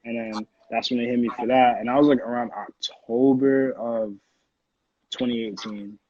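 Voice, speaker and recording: A man's voice: medium pitch, fairly even intonation, moderate pace, a little mellow, a little hiss or hum. An American man from Connecticut, a music producer chatting casually as an interview guest in informal slang peppered with "like". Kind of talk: casual chat. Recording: dull, lo-fi audio.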